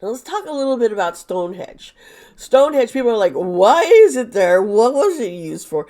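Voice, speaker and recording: A hoarse woman who is losing her voice, talking through a tarot topic in a rambling, chatty way with sarcastic asides. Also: on the high side, big pitch swings, moderate pace, warm tone. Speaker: tarot reader. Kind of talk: casual monologue.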